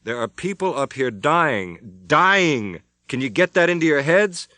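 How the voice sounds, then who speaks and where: Stand-in pilot, voiced by a man: determined, shaken, deliberate. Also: mid-range voice, a bit bright, no room sound, voice pushed up, lightly acted. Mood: desperate. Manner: deliberate, stubborn.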